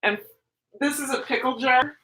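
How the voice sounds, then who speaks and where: Minnesota lady rambling on a live stream at home, kinda high and bright. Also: moderate pace, poor audio. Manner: chatty, rambling, self-interrupting. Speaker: teacher and livestream host. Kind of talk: casual livestream chat, reading viewer comments.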